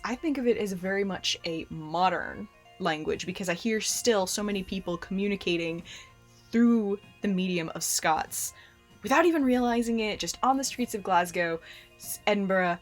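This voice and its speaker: A young American woman hosts her own channel, talking in a chatty, animated way and often restarting her sentences. Her voice sits at a middling pitch, and faint music plays quietly underneath. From United States. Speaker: host. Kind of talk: casual explanatory talk to camera.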